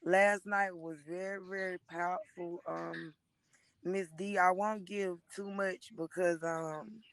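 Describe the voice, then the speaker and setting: A hoarse woman in her thirties gives an emotional, preachy testimony on a live stream, speaking into a phone and often calling listeners by name. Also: lowish voice, steady tone, slow, no echo. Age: 30s. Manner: earnest and preachy, repeatedly addressing listeners by name.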